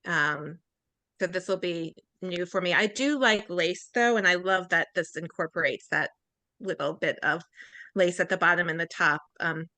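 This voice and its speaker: Woman on a video call, bright tense tone, chatty, dry sound. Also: on the low side, normal speed, dry recording. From Pennsylvania, USA. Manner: chatty, laughs between sentences. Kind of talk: casual chat.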